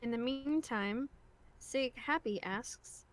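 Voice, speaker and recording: A woman's voice: a little high, slow pace, slight background noise. A woman chatting casually as co-host of a group livestream, fielding questions from viewers. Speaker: co-host reading out chat questions.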